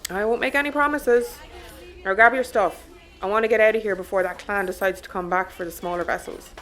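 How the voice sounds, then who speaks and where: An Irish actress playing a wry, grudging cargo ship captain with a dry, sardonic manner in an audio drama. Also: female voice, medium pitch, a little fast, a bit bright, natural voice. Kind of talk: scripted audio drama acting.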